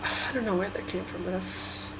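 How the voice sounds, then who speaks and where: A woman's voice: medium pitch, steady tone. Hesitant woman vlogging over background noise. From Sri Lanka. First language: Tamil. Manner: halting, hesitant, many restarts and fillers. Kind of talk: personal reflective monologue.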